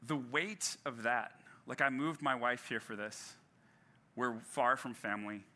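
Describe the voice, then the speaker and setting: A man's voice: medium pitch, a little slow, bright, forceful tone. A male pastor giving a sermon in a conversational way, with frequent fillers and restarts and some self-deprecating humor.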